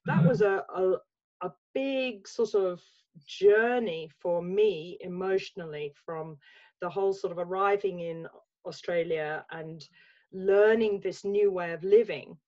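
A woman's voice: fairly low pitch, a little slow, darkish tone, dull audio. A woman in her sixties with an English accent, talking reflectively as a guest on a video call from her home, with many restarts. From England. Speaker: guest, life coach. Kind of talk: casual video-call interview. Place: home room with bookshelves. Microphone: laptop webcam mic on video call.

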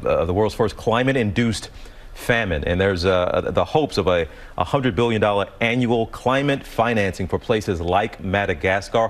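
A man anchoring a morning news show in a studio, asking interview questions in a conversational, halting way. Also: lowish voice.

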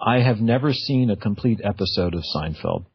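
A man's voice: fairly low pitch, lively intonation, fairly quick, breathy edge, no echo. A man hosting a radio show, chatting casually and hesitantly as he searches for words. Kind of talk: casual interview chat. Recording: dull, lo-fi, dry radio audio.

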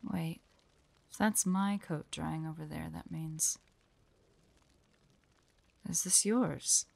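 A woman voicing a guarded, proud young hunter in an audio roleplay, terse and prickly, often dropping into hushed, breathy asides. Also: low voice, fairly even intonation, slow, dry recording, full character voice. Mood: wary, puzzled. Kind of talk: dramatic audio roleplay, addressed to an unheard listener.

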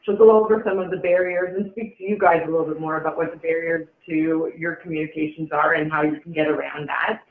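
A man's voice: on the high side, steady tone, fast, hardly any pauses. A man giving an informal conference presentation as an event organizer, speaking in a meeting room. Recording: roomy, echoey conference call room.

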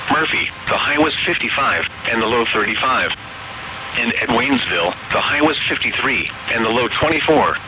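A synthetic male voice with an American accent reads scripted weather bulletins as an announcer, formal, measured and impersonal. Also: medium pitch. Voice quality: synthetic text-to-speech voice. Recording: dull, noisy weather radio broadcast.

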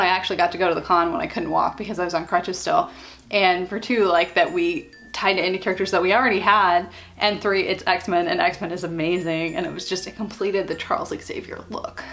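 Chatty young woman telling convention stories. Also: lowish voice. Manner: chatty and animated, acts out quoted friends. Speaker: vlogger. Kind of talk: casual storytime chat. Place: small bedroom, indoors.

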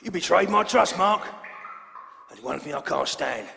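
Older Cockney gang boss, very gravelly, theatrical menace, swooping delivery, long reverb. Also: male voice, high-pitched, expressive, moderate pace, bright, forceful tone, a little hiss or hum, full character voice. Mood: mocking menace. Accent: London Cockney. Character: ageing male London crime boss, menacing and theatrical. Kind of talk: video game cutscene acting.

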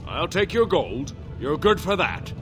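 A man voicing a shrewd, gold-minded fantasy dwarf merchant in a video game, blunt and dismissive, with a gravelly voice. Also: fairly high pitch, sing-song, measured pace, over music. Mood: confident, dismissive. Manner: blunt, dismissive, matter-of-fact. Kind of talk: video game dialogue acting.

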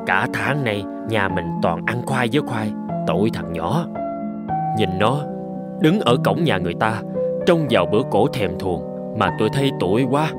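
An actor playing a poor, gentle peasant father, polite and earnest, in an animated folk tale. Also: on the low side, moderate pace, loud music behind the voice, close to an everyday voice. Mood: worried, tender.

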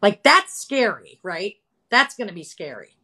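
A woman in her fifties hosting a casual live video chat from home, chatty and animated, talking into a phone camera. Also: mid-range voice, a little slow, breathy edge. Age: 50s. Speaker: host. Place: home room with colorful wall. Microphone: phone camera, video call.

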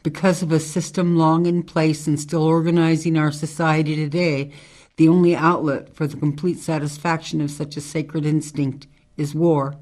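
Radio drama: tough female ex-military ranger, played by a woman, measured, earnest monologues, low-voiced for a woman. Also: level tone, darkish tone, natural voice. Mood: solemn, earnest. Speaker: actor in an amateur radio drama.

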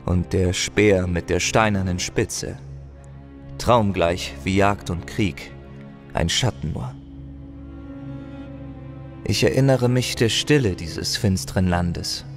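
A man acting a solemn, eloquent wandering adventurer and chronicler in an audio drama, with theatrical delivery. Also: fairly low pitch.

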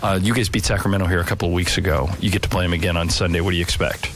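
A man with a fairly low voice asks questions as a sports radio reporter. He talks quickly, interviewing a player after a game in a locker room. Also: fairly even intonation, fairly bright tone, soft background music.

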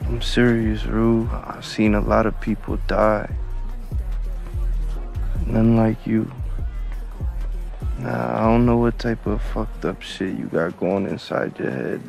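An actor playing a stoned, caring young drug dealer in a screen drama, with a slangy, mumbled drawl. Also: male voice, on the low side, steady tone, slow, creaky, pauses often, a little mellow. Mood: earnest, concerned. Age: young adult. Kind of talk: naturalistic screen drama dialogue. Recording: faint music behind the voice.

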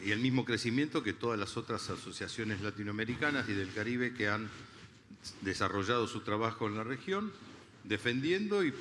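A man's voice: medium pitch, bright, forceful tone, a little hiss or hum. An older man, making an informal announcement on stage at a conference, speaking into a handheld mic. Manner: informal, uses filler "este". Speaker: association representative announcing on stage. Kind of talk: public announcement speech. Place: large conference hall on stage. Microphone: handheld microphone. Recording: reverberant hall with faint ambience.

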